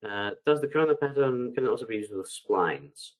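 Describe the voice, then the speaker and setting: A man with a bad cold and a congested voice, answering webinar questions in a relaxed, hesitant way, reading each question aloud before replying, over a headset on a video call. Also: medium pitch, brisk. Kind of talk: live webinar Q&A, unscripted.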